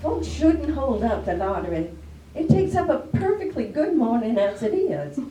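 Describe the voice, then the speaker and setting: Woman playing a gossipy village housewife, high and lively. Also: moderate pace. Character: gossipy, matter-of-fact village housewife who likes tradition. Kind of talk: amateur readers theater stage play. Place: live performance in a library room. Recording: roomy, distant, noisy live library recording.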